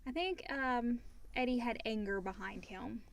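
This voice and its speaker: Higher-voiced woman co-hosting a casual podcast. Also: moderate pace, gravelly, a little hiss or hum. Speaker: podcast co-host. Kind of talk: casual chat, brief agreeing interjections.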